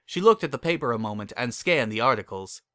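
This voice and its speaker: A man giving an expressive, animated dramatic reading as a story narrator. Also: medium pitch, fast talker, no room sound, studio quality.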